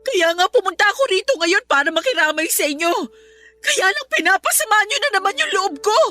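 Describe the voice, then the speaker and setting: High, bright-voiced wounded niece. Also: female voice, animated, brisk, soft background music, exaggerated voice. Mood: tearful, aggrieved. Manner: aggrieved, pleading yet firm. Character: wounded, resentful young married niece standing her ground. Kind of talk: Tagalog radio drama acting.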